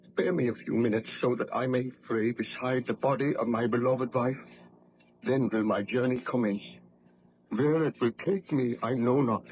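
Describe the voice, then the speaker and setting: An actor playing a desperate, guilt-ridden baron in an old radio drama, with impassioned, formal, theatrical diction. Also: male voice, mid-range voice, a little mellow, lightly acted. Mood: grim resolve. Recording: noisy vintage radio drama recording.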